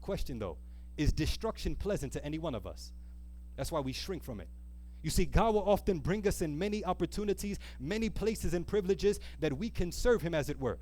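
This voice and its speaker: A man preaching an earnest, exhorting sermon, pressing rhetorical questions and repeating key phrases with deliberate, slowed emphasis. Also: a little high, expressive, a little mellow. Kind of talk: sermon with a closing appeal.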